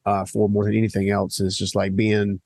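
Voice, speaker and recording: Male podcast host, earnest and chatty with lots of fillers; low, gravelly husky voice, dry studio sound. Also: completely flat delivery, fairly quick, a little mellow, no echo. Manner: earnest, talks in long lists with many fillers. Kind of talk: conversational interview. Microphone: studio microphone.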